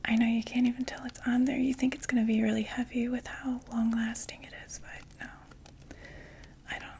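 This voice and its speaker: Woman whispering asmr as a friendly makeup saleslady, gentle n soothing, kinda high with lots of vocal fry. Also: level tone, normal speed, a little hiss or hum. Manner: gentle, soothing, repeats words softly. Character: friendly female vampire door-to-door makeup saleswoman. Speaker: ASMR roleplay performer. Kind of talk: whispered ASMR personal-attention roleplay.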